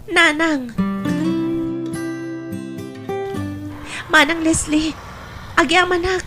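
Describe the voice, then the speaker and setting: A woman's voice: high voice, a bit bright. A woman playing an earnest, plaintive young provincial daughter in a family radio drama. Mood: earnest, hopeful.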